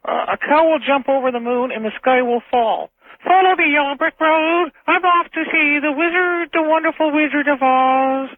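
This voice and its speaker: A man's voice: very high voice, moderate pace. A man on a radio phone-in putting on a silly, singsong mock prophet voice. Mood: silly, mock-prophetic. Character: silly squeaky mock prophet reciting nonsense. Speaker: prank caller to a radio show. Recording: tinny narrow phone line on radio.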